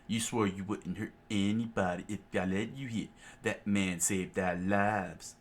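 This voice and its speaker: A man's voice: lowish voice, fairly even intonation, unhurried. A man voicing a betrayed, wounded male pirate who pleads with his captain.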